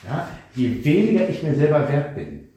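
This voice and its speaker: A man delivers a reflective sermon with a warm, dark tone, often turning to his listeners with questions. He stands some way from the microphone, so his voice carries the heavy echo of a large hall. A faint hiss sits under the recording. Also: on the high side, sing-song, moderate pace. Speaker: preacher. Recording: echoey room, speaker far from mic, faint noise.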